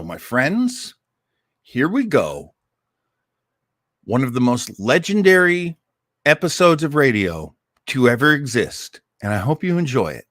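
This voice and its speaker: A man's voice: medium pitch, fairly bright tone, no echo, studio quality. A man hosting a listening party, presenting casually and enthusiastically with emphatic, dramatic build-up.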